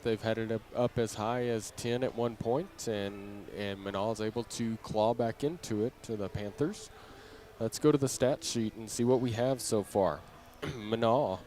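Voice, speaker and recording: A man doing an unscripted live halftime recap as a sports announcer, conversational and halting as he reads out stats. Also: mid-range voice, dark tone. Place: high school game venue, beside fans. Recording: live venue with background noise. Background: faint ambient venue noise.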